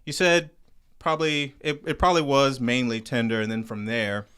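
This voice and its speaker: A man's voice: mid-range voice, little pitch movement, normal speed, a bit bright, clean tone. A man hosting a podcast in a studio, talking in a loose, joking way with plenty of swearing. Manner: loose, profane, joking, rambling. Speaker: podcast host. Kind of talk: casual conversation. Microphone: studio microphone.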